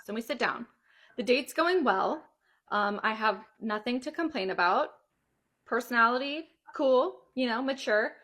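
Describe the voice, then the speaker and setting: A woman's voice: fairly high pitch, lively intonation, measured pace, a bit bright. A woman in her late twenties telling a personal story in casual chat, with an emphatic, indignant manner. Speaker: storyteller recounting a personal experience.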